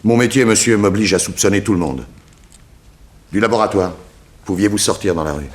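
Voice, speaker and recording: Male actor as deep-voiced detective, formal, insistent questioning, suspicious, 1960s radio crime drama. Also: a little fast, close to an everyday voice. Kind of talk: 1960s French radio detective serial acting.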